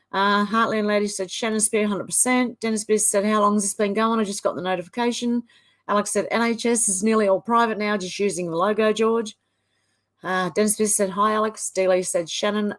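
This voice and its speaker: A woman hosting a casual live stream, reading viewer comments aloud in a chatty run with small fillers. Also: medium pitch. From Australia. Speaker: livestream host.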